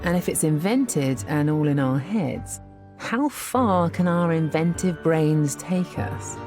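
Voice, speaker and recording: A woman in her thirties presenting a documentary in clear, measured scripted narration. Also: low voice, animated, warm tone, faint music behind. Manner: clear, measured, thoughtful delivery.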